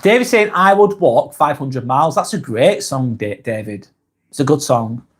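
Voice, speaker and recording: A man from Lancashire with a Lancashire accent, hosting a casual live stream and reading viewer comments aloud in a soft, hesitant way. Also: medium pitch, expressive, moderate pace, husky. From Lancashire, England.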